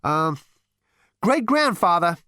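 A man's voice: high-pitched, sing-song, takes it slowly, sharp tone, smooth, no echo, studio quality, pitched above the performer's own voice, full character voice. A man voicing a brash alien adventurer who is flustered, stammering and hesitant, in a storybook reading. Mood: flustered, pleading. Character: brash male alien adventurer, flustered by his great-grandfather.

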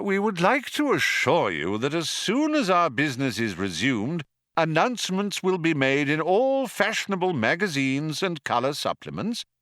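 A man voicing an old announcer from a dead planet in an audiobook, hollow and reedy, slow, formal and politely sinister. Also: fairly high pitch, a bit bright, dry recording, studio quality, pitched above the performer's own voice. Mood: sharper menace.

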